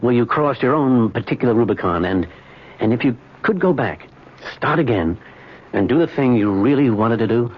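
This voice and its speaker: A man's voice: medium pitch, expressive, moderate pace, lightly acted. An actor playing a weary, evasive middle-aged businessman in an old-time radio drama, speaking in a hesitant, pleading way. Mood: wistful, halting. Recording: muffled, noisy vintage radio recording.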